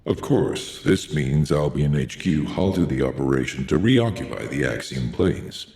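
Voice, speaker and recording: An actor playing a grave, composed military commander in a video game, speaking in a measured, solemn, authoritative way with a gravelly voice. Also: male voice, rumbling low, lively intonation, darkish tone, exaggerated voice. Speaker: game character. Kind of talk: scripted video game dialogue. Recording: roomy echo.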